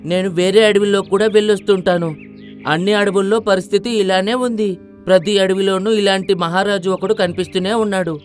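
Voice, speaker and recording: A man's voice: high voice, steady tone, normal speed, soft background music, natural voice. A man voicing a clever, resigned monkey in a matter-of-fact way for a children's cartoon story.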